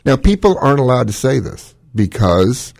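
A man's voice: medium pitch, sing-song, normal speed, darkish tone, no room sound, clean studio sound. A man with a smoky voice, a doctor hosting a call-in radio show, giving long opinionated explanations. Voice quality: smoky, gravelly voice. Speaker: cardiologist radio host.

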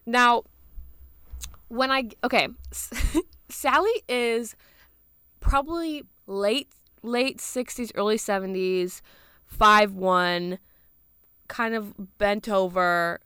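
Young American female storyteller, smooth clear voice, slangy and animated, recorded close and dry. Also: mid-range voice, very slow, long pauses, dry recording. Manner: animated, slangy, with quoted asides. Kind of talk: casual storytelling chat.

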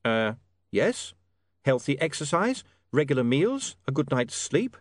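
Man playing a wry, precise, lively gentleman. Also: mid-range voice, unhurried, no room sound, pitched above the performer's own voice. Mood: wry, pedantic. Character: dry, pedantic, quick-witted gentleman newspaperman.

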